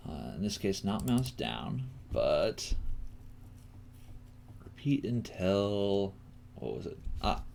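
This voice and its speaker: A man hosting a live coding lesson, thinking aloud haltingly and often murmuring to himself as he works. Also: fairly low pitch, very animated, vocal fry, darkish tone. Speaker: coding teacher and stream host. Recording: noisy room with keyboard clicks. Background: typing and clicking.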